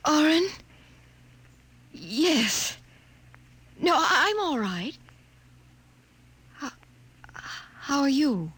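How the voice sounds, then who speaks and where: A young woman acting in a 1950s radio drama as an anxious, self-sacrificing scientist in love, speaking haltingly with held-back emotion. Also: high voice, fairly bright tone, husky, exaggerated voice.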